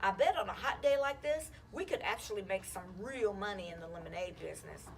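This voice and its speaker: A woman's voice: mid-range voice, brisk, creaky, natural voice. A woman voicing an eager young schemer of a boy in a storybook, keen and pushy. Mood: hopeful.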